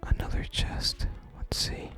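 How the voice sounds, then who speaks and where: A person whispering ASMR commentary while playing a video game and reading its text aloud, in a breathy, unvoiced whisper. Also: slow, a bit bright, faint music behind.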